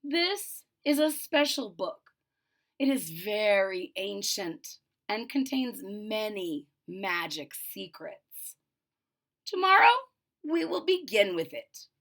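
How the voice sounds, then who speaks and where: Storybook role for a woman reader: authoritative witch mentor, calm and measured, slow firm instruction with a slight creak. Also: mid-range voice, full character voice. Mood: solemn. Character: calm, wise, authoritative female witch teacher.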